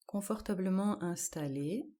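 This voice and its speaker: A woman guiding a yoga nidra relaxation, giving calm, measured instructions in short, spaced-out phrases. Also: medium pitch. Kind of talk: guided relaxation meditation.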